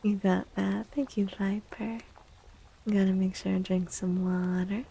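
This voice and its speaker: Breathy, slow-talking soft-spoken woman. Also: mid-range voice, flat delivery. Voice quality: soft, breathy, often dropping to a whisper. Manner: gentle, unhurried, reassuring. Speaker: livestream host and tarot reader. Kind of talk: soft-spoken ASMR livestream chat.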